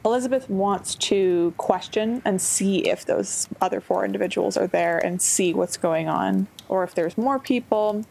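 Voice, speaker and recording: A woman's voice: medium pitch, normal speed, gravelly, hardly any pauses, darkish tone, slight background noise. A woman playing a tabletop roleplaying game, casually and tentatively working out what her character intends.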